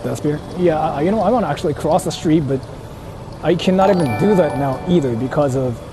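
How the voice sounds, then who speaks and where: A man's voice: a little high, a little fast, music in the background. A man with as his language, chatting casually and swearing in slang as he livestreams out in the city. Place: outdoors on busy city streets. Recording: noisy outdoor street with traffic. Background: cars and urban street noise.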